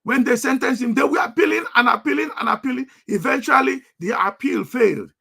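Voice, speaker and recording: A middle-aged man delivering a live broadcast in a strong, commanding voice. His tone is gravelly and a little husky, sitting somewhat high, with a sharp, forceful edge. He repeats phrases for emphasis as he builds his points. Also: a little fast.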